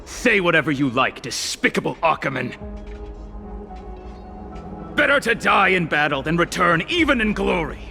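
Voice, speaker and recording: Proud avenging warrior for a game: forceful, gravel-edged, solemn and defiant. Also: male voice, on the high side, sing-song, moderate pace, long pauses, soft background music, full character voice. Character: defiant young warrior avenging his people, proud, loyal. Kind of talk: dramatic video game voice acting.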